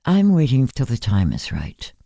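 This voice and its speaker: A woman reading the part of an ancient, frail, blind woman in an audiobook story, measured, polite and composed. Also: a bass voice, huge pitch swings, gravelly, mellow, no room sound, studio quality, exaggerated voice. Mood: calm, resolute.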